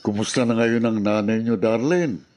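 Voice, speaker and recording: A man's voice: on the low side, normal speed, darkish tone, lightly acted. An actor playing a plainspoken middle-aged male neighbor in a Filipino radio drama. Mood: sympathetic, regretful.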